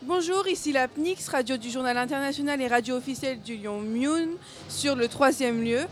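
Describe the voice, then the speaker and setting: A young woman hosting a student radio interview, asking brisk, halting questions. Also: sits high. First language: French. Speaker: radio host. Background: background music.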